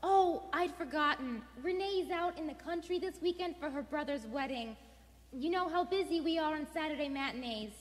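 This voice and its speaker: A woman plays a genteel, brisk wife in a live radio drama. Her voice is high and theatrical, carrying through a reverberant hall. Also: lightly acted. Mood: breezy. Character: genteel, loyal English wife, brisk and indignant. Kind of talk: stage radio play acting.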